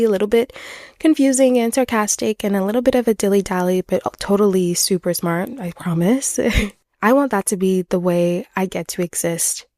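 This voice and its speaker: A woman's voice: mid-range voice, darkish tone, dry recording. A woman in her twenties, a native French speaker, hosting a casual, candid solo podcast in a chatty, earnest, self-deprecating way into a close microphone. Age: 20s. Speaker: podcast host.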